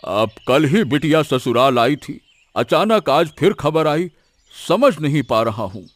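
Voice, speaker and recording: A man's voice: a little high, big pitch swings, measured pace. A man playing an emotional, anxious older village father in a cartoon moral story. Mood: worried.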